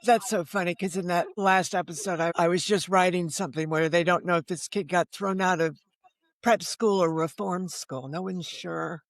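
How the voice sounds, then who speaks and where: A woman's voice: on the low side, steady tone, moderate pace, no echo. An American woman in her seventies chatting in a casual interview, anecdotal and emphatic in how she talks. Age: 70s. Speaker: podcast guest, novelist.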